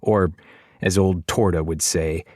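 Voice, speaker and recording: A man's voice: deep voice, steady tone, measured pace, a little mellow, no echo, studio quality. A man narrating a children's fantasy audiobook, wry and conversational, speaking straight to the listener. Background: music.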